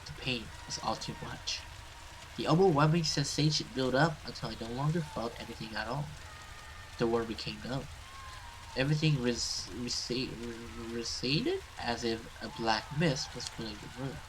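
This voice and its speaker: A man's voice: medium pitch, normal speed, background noise. A man hosting a let's play, reading a horror visual novel aloud and stumbling over some words as he goes.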